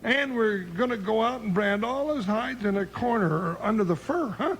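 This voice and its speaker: An actor playing a folksy, excitable, comic deputy sidekick with a rural Western American twang in a 1950s radio western, blustering and stammering when flustered. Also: male voice, high voice, moderate pace, poor audio. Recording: old hissy 1950s radio recording.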